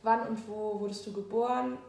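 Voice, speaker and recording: A woman's voice: fairly high pitch, steady tone, normal speed, creaky, darkish tone. A young woman hosting a school podcast, who asks interview questions and often rephrases them hesitantly. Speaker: student podcast host. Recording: echoey room.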